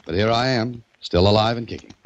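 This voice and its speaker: A man's voice: on the low side, moderate pace, natural voice. An actor playing a wry, easygoing male adventurer who chuckles often, in a 1940s radio drama. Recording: dull old radio recording.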